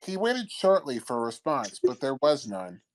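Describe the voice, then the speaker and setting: A man's voice: very animated, moderate pace. A man reading scripted comedic fanfiction as the narrator. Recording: old-timey low-quality mic, background music.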